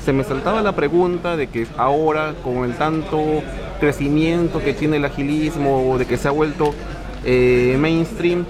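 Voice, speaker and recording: A male interviewer asks long questions in a hesitant way, pausing on fillers as he goes. His voice has an ordinary pitch. Clear crowd chatter carries on around him at a conference venue. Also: fairly even intonation. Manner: hesitant, many fillers and eh's. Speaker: interviewer, host.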